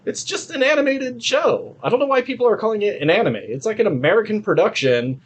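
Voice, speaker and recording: A man's voice: high voice, lively intonation, fast talker. A man co-hosting a podcast in casual review chat, talking in an opinionated, profane way. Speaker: podcast co-host.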